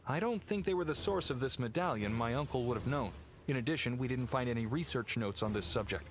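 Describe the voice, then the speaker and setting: An actor playing a polite, serious gentleman heir in a video game, explaining things in a courteous, earnest way. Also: medium pitch, lively intonation, fast, music in the background, close to an everyday voice. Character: Sir Henry, polite, serious male heir of cursed family. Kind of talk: video game dialogue acting.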